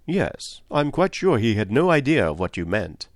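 Man reading as stiff, earnest English gentleman. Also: mid-range voice, big pitch swings, moderate pace, no room sound, studio quality, natural voice. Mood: matter-of-fact. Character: reserved, helpful English gentleman, slightly stiff.

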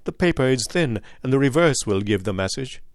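Incisive detective read by a man, measured, precise, dryly ironic, mid-pitched, dry close sound, audiobook. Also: lively intonation, no echo, natural voice. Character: calm, incisive adult male detective.

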